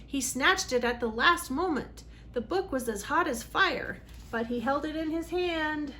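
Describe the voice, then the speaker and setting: A woman's voice: sits high, unhurried. A woman reading a storybook aloud for children in a dramatic, emphatic way. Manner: dramatic, emphatic on exciting moments. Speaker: read-aloud host for children.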